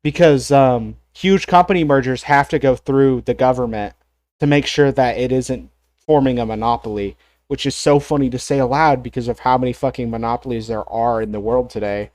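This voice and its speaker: A man's voice: medium pitch, normal speed, darkish tone, no room sound. Congested man rambling on a dry stream mic. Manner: rambling, opinionated, frequent fillers and swearing. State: congested, coughing. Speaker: streamer ranking cartoons. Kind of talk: casual live-stream commentary.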